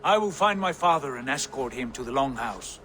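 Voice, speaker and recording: An actor playing a proud male warrior prince who speaks in a commanding, steady way. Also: medium pitch, normal speed, soft background music. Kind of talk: video game cutscene acting.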